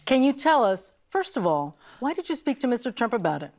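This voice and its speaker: Lively-pitched woman reading court questions aloud. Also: a little fast. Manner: stiff, read-aloud delivery. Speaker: television legal panelist reading a prosecutor's lines. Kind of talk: dramatic reading of court transcript.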